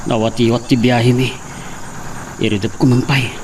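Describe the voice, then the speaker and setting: A man's voice: medium pitch, flat delivery, normal speed, lightly acted. A young man playing an earnest son, narrating his story in a plain, earnest way. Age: young adult. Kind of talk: amateur drama acting and narration. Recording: distant mic with clear insect noise.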